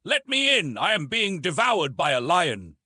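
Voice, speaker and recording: A man voicing a fussy, panicky elderly gentleman in an audiobook, exclamatory and blustering. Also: high-pitched, huge pitch swings, a little slow, slightly rough, dry recording, clean studio sound, pitched above the performer's own voice, full character voice. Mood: shrieking panic. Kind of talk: audiobook character voice.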